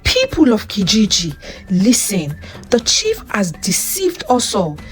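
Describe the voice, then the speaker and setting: A woman voicing a steady, authoritative male village elder in a folktale, measured and commanding. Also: mid-range voice. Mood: firm. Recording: music under the voice.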